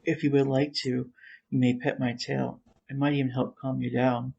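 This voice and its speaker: A man acts out a gentle wolfkin for an audio drama. The voice is creaky and mellow, with a flat, measured politeness on a slightly dull recording. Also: medium pitch. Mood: calm, kind. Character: gentle, polite wolfkin artificer offering comfort.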